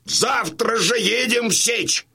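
An actor playing a gruff, imperious old Cossack colonel and father in an audio drama, with a husky voice and a bluff, emphatic delivery. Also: extremely high pitch, takes it slowly, pushed and bright, exaggerated voice. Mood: fiery, impatient. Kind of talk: Russian audio drama acting.

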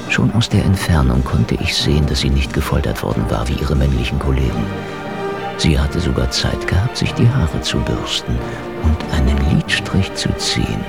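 An actor playing a hardboiled action hero who narrates his own escapade, terse and deadpan, in a radio drama. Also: rumbling low, creaky, heavily acted. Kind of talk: noir-like first-person radio drama narration. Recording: loud music under the voice.

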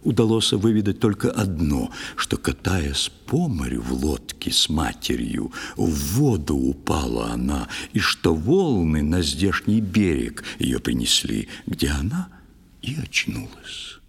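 A man playing an old fisherman who recounts a foundling's story in unhurried, wondering verse, in an audio drama. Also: very deep voice, very animated, vocal fry, breathy edge, in a large hall, pitched below the performer's own voice, full character voice. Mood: puzzled, reminiscing. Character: old fisherman telling of his mysterious foundling daughter.

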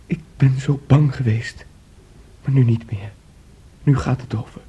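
An actor playing a troubled, fearful young man in a radio play, speaking in a dreamy, brooding, halting way. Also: mid-range voice, mellow, breathy edge. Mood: shaken.

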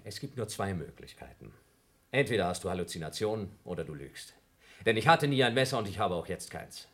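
A man's voice: low-pitched, very animated, fairly quick, a bit bright, full character voice. An actor in a radio play, playing a coldly logical younger man who accuses his companion and grows frantic. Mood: cold accusing. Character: cold, suspicious younger man trapped with his rival. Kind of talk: broadcast radio play acting.

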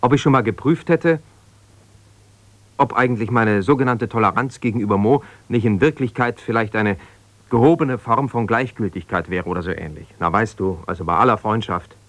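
An actor playing a wounded, self-justifying husband in a naturalistic radio drama, talking in a hesitant, searching, conversational way. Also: male voice, lowish voice, normal speed, a bit bright, dry recording, close to an everyday voice. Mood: indignant, defensive. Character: defensive, wounded husband pouring out his troubles to a confidante. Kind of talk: naturalistic 1970s German radio drama monologue.

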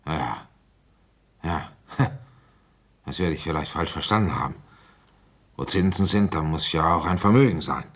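A village police commissioner in his fifties with a very low, husky voice. He is worn down by a cold yet ambitious, coaxing people like a blunt father in a radio play. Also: measured pace, long pauses, a bit bright, full character voice. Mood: weary, probing. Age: middle-aged. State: feverish with a cold. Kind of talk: German radio drama acting and first-person narration.

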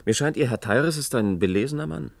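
An actor playing a calm, skeptical, well-read questioner in a radio play, with a dry, ironic manner. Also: male voice, mid-range voice, fast talker, vocal fry, no room sound. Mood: amused, doubting. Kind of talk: German science-fiction radio play acting.

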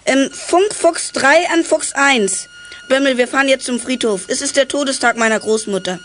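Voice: high-pitched, moderate pace, fairly bright tone, slight background noise. A young performer playing a sensible, teasing schoolchild from a detective gang in a children's radio play.

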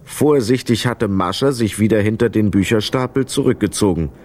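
A man's voice: on the low side, normal speed, a little mellow. A man narrating a children's radio play as a scripted storyteller.

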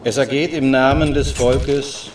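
A man's voice: medium pitch, steady tone, close to an everyday voice. A man playing a stern judge who reads out a verdict in a formal, impersonal manner in a radio play. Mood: stern, official. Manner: official, measured, impersonal. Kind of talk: formal courtroom reading. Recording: distant microphone, noisy courtroom ambience.

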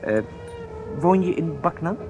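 An actor playing a polite, slightly hesitant gentleman traveller in a radio drama. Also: a little high, animated, unhurried, music in the background. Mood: curious, courteous. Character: courteous, hesitant gentleman traveller making acquaintance, secretly an agent. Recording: radio play with clear background noise. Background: train ambience.

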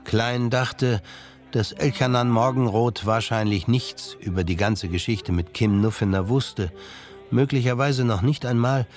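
A man's voice: mid-range voice, unhurried. A man narrating a literary radio drama in scripted German storytelling style. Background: music.

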